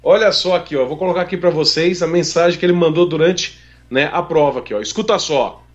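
An animated Brazilian man hosts a motorsport talk show, chatting and laughing easily with his co-hosts. His fairly high voice comes through with a dull, lo-fi sound. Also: fast. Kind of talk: casual podcast chat.

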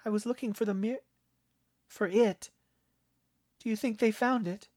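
A man narrating a story, voicing an earnest, worried young boy in a high, urgent voice. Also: fairly even intonation, unhurried, a little mellow, no room sound, clean studio sound. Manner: urgent, pleading boyish voice.

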